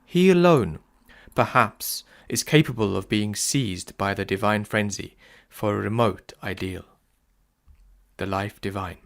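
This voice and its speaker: A man with a fairly low voice and a strong gravelly creak reads philosophical prose aloud. The delivery is solemn and measured, with declamatory weight placed on key words. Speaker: reader. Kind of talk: solemn scripted reading of philosophical writings.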